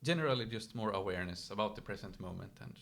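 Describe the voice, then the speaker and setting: A man's voice: on the low side, expressive, fast talker, gravelly. A Finnish man whose first language is Swedish, a yoga expert guest explaining ideas step by step in casual conversation. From Finland. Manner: thoughtful, explains step by step with small restarts.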